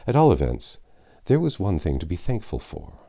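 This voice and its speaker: A man with a low, dark voice reads a classic adventure novel aloud. His delivery is expressive and measured, with lively intonation and dramatically stretched words. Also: creaky. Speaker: audiobook narrator.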